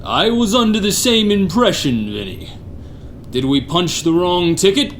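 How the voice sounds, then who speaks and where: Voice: mid-range voice, expressive, a little slow, lightly acted. An actor playing a pompous, irritable gangster boss in comic radio theater, domineering and scolding in mobster slang. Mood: stern, suspicious. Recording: faintly noisy recording.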